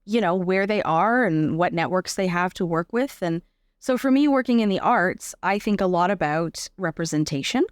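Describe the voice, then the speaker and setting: Lower-voiced woman guest, reflective, dry recording. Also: animated, normal speed, no room sound. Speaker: podcast guest, actor and advocate. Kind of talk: conversational interview, personal account.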